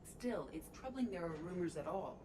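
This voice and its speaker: A woman's voice: lowish voice, expressive, moderate pace, close to an everyday voice. A woman playing a poised, grave alien officer in a video game, speaking formally and precisely. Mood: grave, measured. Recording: noisy game ambience behind voice.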